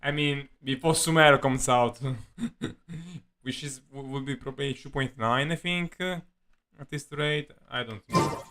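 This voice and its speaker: A man doing casual live game commentary as a streamer, halting and self-deprecating in how he talks. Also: medium pitch, bright, forceful tone. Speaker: game livestreamer. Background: game music.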